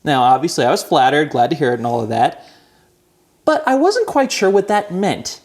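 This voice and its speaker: A man's voice: on the high side, sing-song, normal speed, a little mellow. A man in his thirties hosting a podcast, reading a scripted opening and then chatting with guests in a wry, self-deprecating way. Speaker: podcast host. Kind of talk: scripted intro, then casual chat.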